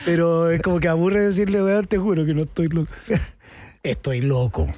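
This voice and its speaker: High-voiced Chilean man, frank and slangy. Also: normal speed, darkish tone. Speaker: actor and guest. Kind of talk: casual interview chat.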